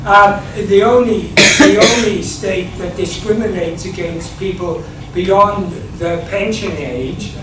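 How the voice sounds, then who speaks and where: A man in his seventies from Australia, asking a question from the floor in a loud voice with many halting fillers, recorded in an echoey public hall. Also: sits high, background noise. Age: 70s. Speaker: audience member asking a question.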